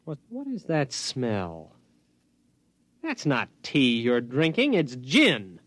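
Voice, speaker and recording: A fussy grown son in an old 1950s radio play, an overlord under his mother's thumb. His pitch moves in lively swings, and the sound is dry and close. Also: slow pace, dry recording, exaggerated voice. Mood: fussy disapproval. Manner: fussy, then meekly obedient. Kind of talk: 1950s radio drama acting.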